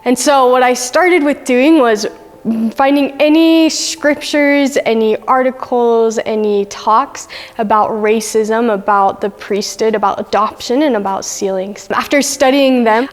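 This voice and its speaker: Woman, twenties, from China; fairly high voice, earnest documentary narrator, reverberant room. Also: a little slow. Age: 20s. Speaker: student filmmaker.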